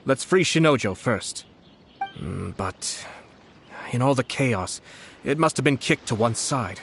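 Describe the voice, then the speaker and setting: A man playing a shrewd, unflappable young nobleman in an anime-style game, speaking in a measured, polite, formal way. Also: medium pitch, animated, vocal fry, fairly bright tone, slight background noise, heavily acted. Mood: calm.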